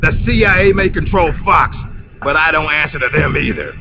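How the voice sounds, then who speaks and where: An actor playing a scheming, bitter military officer in a video game cutscene, talking in a condescending, theatrical, taunting way. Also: male voice, a little high, moderate pace, background noise, lightly acted. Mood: smug explaining. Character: smug, scheming traitorous male military officer, bitter and mocking. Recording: muffled game audio under loud music.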